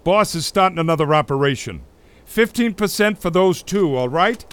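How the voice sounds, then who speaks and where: A man plays a brusque timber boss in a period drama. The voice is high and carries authority, giving curt orders to the men. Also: expressive, moderate pace, noisy background, lightly acted. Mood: businesslike. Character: brusque, commanding 1890s timber company chief. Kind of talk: acted story drama.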